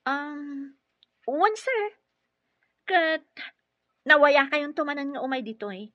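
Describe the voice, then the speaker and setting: A woman's voice: sits high, expressive, slow, no echo, lo-fi sound. An actress playing a polite young female nurse who speaks deferentially to her superiors in an Ilocano radio drama. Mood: polite, evasive. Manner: respectful, addressing superiors as sir.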